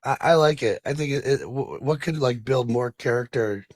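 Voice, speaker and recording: A man hosting a podcast, asking questions in a loose, halting way with many fillers. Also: mid-range voice, darkish tone, no room sound, clean studio sound. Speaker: podcast host. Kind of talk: interview questions.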